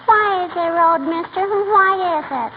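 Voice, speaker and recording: A woman playing an inquisitive, naive little girl who eagerly repeats her questions in old radio comedy style. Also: a little slow. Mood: eager, curious. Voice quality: high childlike voice. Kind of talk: 1940s radio comedy acting. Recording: tinny, noisy old radio recording.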